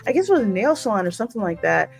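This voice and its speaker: A woman's voice: mid-range voice, very animated, rapid-fire, gravelly, soft background music. A woman with an American accent, a gaming host chatting with a co-host in a colloquial, playful way. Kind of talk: casual reaction chat with a co-host.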